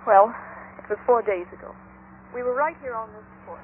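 A woman's voice: on the high side, moderate pace, a little hiss or hum, close to an everyday voice. An actress playing an earnest, worried young woman in a vintage radio drama, with an American accent. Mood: anxious. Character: anxious, earnest young sister of a missing man. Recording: thin, telephone-like old radio recording.

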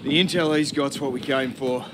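An actor playing a wry, cocky Allied soldier in a video game, talking in casual slang. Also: male voice, medium pitch, fairly even intonation, moderate pace, background noise, natural voice. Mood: wry readiness. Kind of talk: video game cutscene acting. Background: loud music.

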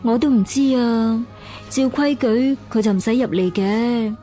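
A woman acts a young maidservant in a period radio drama. She is dutiful and tender-hearted, with a sorrowful air. The voice sits a little high and has a mellow, soft tone. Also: measured pace, soft background music, lightly acted. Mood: sad, confiding.

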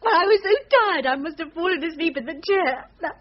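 An actress playing an anxious, frightened wife in a radio thriller, tremulous and pleading. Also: female voice, very high voice, animated, fairly quick. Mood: tearful, frightened. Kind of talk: radio thriller drama acting. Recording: dull radio drama recording.

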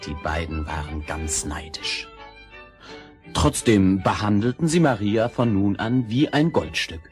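A man narrating a fairy tale in an expressive storytelling style. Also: fairly low pitch, measured pace. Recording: old audio play with music.

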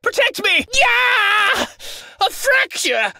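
A man's voice: extremely high pitch, very animated, very slow, pushed and bright, no room sound, studio quality, heavily acted. An actor playing a snobby, haughty medieval nobleman in a video game, with pompous, theatrical exclamations. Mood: frantic, commanding. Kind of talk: video game battle barks.

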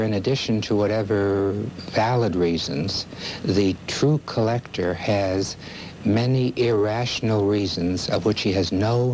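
A middle-aged man with a fairly low voice gives a measured, formal expert explanation. He is recorded on old 1970s television videotape with a light layer of noise. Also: a little mellow. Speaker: psychiatrist interviewed as expert. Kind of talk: expert interview. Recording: hissy, degraded 1970s videotape.

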